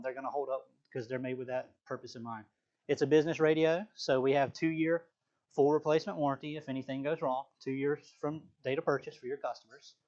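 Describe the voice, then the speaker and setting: A man's voice: mid-range voice, darkish tone, muffled recording. A man presenting a live product training session as a sales trainer, explaining points plainly and checking in with the occasional "right?".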